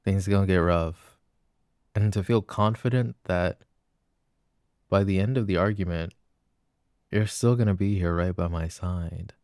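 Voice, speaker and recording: A man's voice: sits low, a little mellow, dry recording, clean studio sound. A young man playing an apologetic, adoring jealous boyfriend in an intimate roleplay, speaking in a casual, hesitant murmur. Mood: contrite, reassured. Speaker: voice actor.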